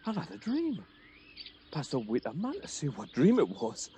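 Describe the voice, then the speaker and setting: Guy doing excitable weaver who thinks hes a great actor, hammy shakespeare radio play, pitch all over the place. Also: normal speed, a little hiss or hum. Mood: awed, wondering. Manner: theatrical, chuckling, self-important.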